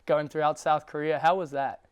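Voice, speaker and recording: Young male studio host, smooth fluent delivery, very clear tone. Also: fairly high pitch, steady tone, brisk, dry recording. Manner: fluent, polished presenter delivery. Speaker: student TV sports show host. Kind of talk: scripted interview questions. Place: studio set.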